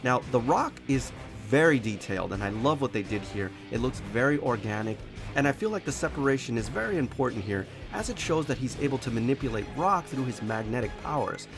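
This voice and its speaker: A man narrates a collectible statue review while sick, his voice sitting deeper than usual. He talks at a middling pitch, piling on eager praise. Music plays clearly beneath him. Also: expressive, fairly quick. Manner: effusive, enthusiastic praise. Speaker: collectible toy reviewer, show host. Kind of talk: product review voice-over. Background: background music.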